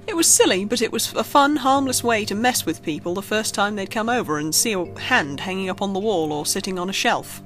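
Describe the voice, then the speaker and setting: A woman's voice: mid-range voice, animated, brisk, hardly any pauses, fairly bright tone. A woman reading a scripted horror story as a narrator, telling it expressively. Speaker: creepypasta narrator. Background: background music.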